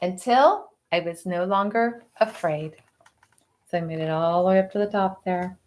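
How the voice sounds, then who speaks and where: A woman reading a picture book aloud to children, expressively and with dramatic pauses, adding explanatory asides in a teacher's manner. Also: lowish voice, darkish tone.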